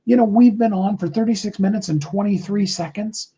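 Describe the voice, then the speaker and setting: High-voiced man with a husky, muffled sound. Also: brisk, dark tone. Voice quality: high tenor voice. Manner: rambling, earnest, with many fillers. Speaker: host of a live motivational call. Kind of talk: casual motivational talk.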